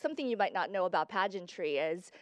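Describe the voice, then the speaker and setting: A woman's voice: on the low side, expressive, fast talker, a bit bright, no echo. A young woman from Guatemala, a guest in a casual interview, explaining at length with fillers.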